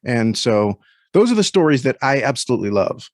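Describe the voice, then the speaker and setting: A man speaking as an interview guest in a thoughtful, conversational way, often halting as he searches for words. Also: mid-range voice, very animated, no echo, studio quality.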